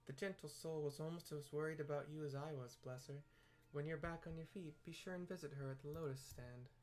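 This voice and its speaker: A man reading aloud the lines of a brisk, teasing, motherly woman healer in a fantasy game. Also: fairly high pitch, steady tone, darkish tone, faint music behind, natural voice. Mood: teasing, caring.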